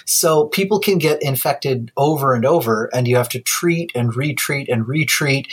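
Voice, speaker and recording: Husky podcast dude explaining germs, laid back, laughs a lot, stumbles and restarts. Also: male voice, medium pitch. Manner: casual, laughs often, talks in restarts. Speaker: podcast co-host. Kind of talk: casual science chat.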